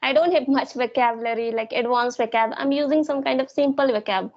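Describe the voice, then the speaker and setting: A woman's voice: high-pitched, brisk, darkish tone, highs cut off. A woman whose first language is Hindi, hesitantly sharing her experience in a casual English practice conversation, with many fillers. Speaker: guest sharing her learning journey.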